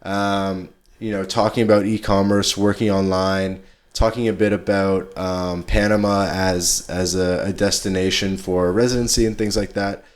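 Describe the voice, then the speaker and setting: A man's voice: low-pitched, flat delivery, normal speed. A man hosting a podcast in a casual, laid-back chat, talking in slangy everyday English. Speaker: podcast host.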